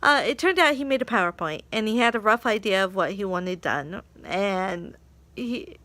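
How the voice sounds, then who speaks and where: Young woman, bright and crisp, dry close sound, chatty vlog storyteller who laughs a lot. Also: medium pitch, normal speed, dry recording. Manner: animated, laughs often, acts out quotes. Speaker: English teaching assistant.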